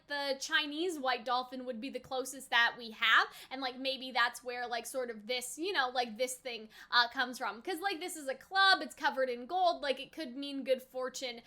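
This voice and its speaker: Woman, high voice, bright tone, chatty, rambling, casual reaction commentary. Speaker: anime reaction host.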